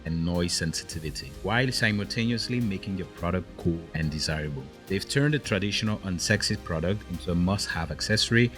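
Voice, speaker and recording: Deep-voiced male podcast host. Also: fairly bright tone, faint music behind. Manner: brisk presenter delivery, short back-channel replies in chat. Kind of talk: scripted narration and casual chat.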